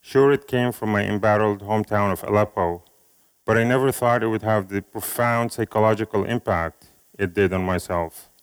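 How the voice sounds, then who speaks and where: A man from Syria whose first language is Arabic, giving a slow, measured reading of a speech and a poem at an event. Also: fairly low pitch, smooth, poor audio. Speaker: speaker at an event.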